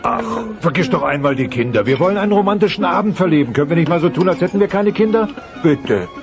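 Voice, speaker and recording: A grumbling middle-aged dinosaur dad in a children's radio play. His pitch swings widely and sits high for a man, and he lays on the sarcasm thick. The muffled cassette has music running underneath. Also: lively intonation, fast. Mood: pleading. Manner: sarcastic, exaggerated. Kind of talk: German children's audio drama acting.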